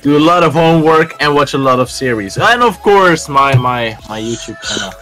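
A teenage young man chatting casually over a game, halting and excitable, often repeating his words. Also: mid-range voice. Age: teens. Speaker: gamer friend on voice chat. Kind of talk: casual gaming chat. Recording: voice chat with faint game music. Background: faint game music.

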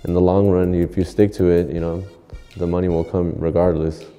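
Low, dark-voiced Los man. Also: little pitch movement, brisk. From Los Angeles. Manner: laid-back street slang, rambling restarts. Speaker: graffiti artist. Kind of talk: interview monologue. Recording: long hall reverb, faint music.

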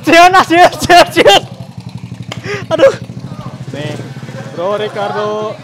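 A young man hosting a casual motorcycle vlog, excitable and full of slang, talking into a handheld mic outdoors at a race circuit. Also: high-pitched, takes it slowly. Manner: excitable, slangy, lots of exclamations. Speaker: motovlogger host. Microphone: handheld mic, camera close. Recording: noisy outdoor circuit with engines.

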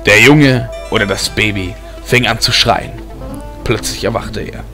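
A man's voice: lowish voice, unhurried, pushed and bright. A man reading a fantasy story as a dramatic audiobook narrator. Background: music bed.

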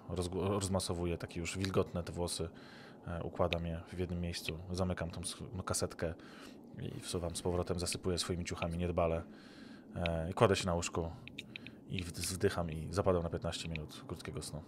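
A man's voice: sits low, level tone, moderate pace. A man playing a tabletop role-playing game, talking through what his character does. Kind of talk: describing his character's actions. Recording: noticeable background noise.

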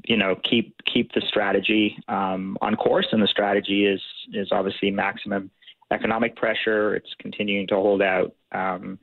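A man giving measured, policy-focused analysis as a guest in a radio interview, heard over a phone line. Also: on the low side, little pitch movement, gravelly, dry recording.

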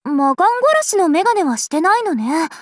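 An actress playing a cheerful, playful young vampire princess in an anime game, speaking in a bubbly, teasing, animated way. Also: female voice, very high voice, moderate pace, no echo, clean studio sound. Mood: curious, challenging. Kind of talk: anime game character voice lines.

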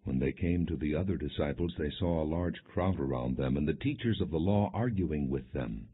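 A man reading scripture as an audiobook narrator, in a solemn, measured, scripted storytelling style. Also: a bass voice, slightly rough. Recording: muffled, narrow phone-like audio.

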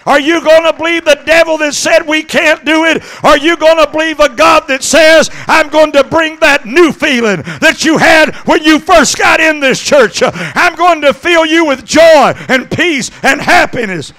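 A middle-aged man preaching an impassioned Pentecostal sermon into a handheld microphone, exhorting and swinging between conversational asides and shouts. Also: extremely high pitch, unhurried, few pauses. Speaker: evangelist preaching. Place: church sanctuary stage at a pulpit.

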